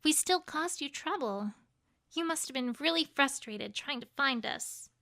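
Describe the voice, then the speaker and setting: A woman's voice: sits high, expressive, a little slow, vocal fry, sharp tone, full character voice. A woman voicing an anxious, earnest young female unicorn in a fan audio story, guilty and emotive. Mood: troubled, guilty. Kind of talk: acted audio story dialogue.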